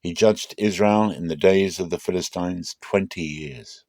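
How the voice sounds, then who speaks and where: A man narrating the Bible aloud as a dramatic reading, in a measured, solemn and expressive storytelling manner. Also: low voice, no room sound.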